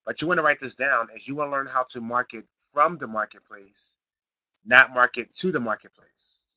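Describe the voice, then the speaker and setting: A middle-aged man from Philadelphia hosting a live online marketing class, slightly raspy from a sniffle, repeating himself and building to emphatic points. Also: medium pitch, moderate pace, pushed and bright, dry recording, muffled recording. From Philadelphia, Pennsylvania. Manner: repetitive, restarts phrases, builds to emphatic points. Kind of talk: live teleseminar class, casual coaching.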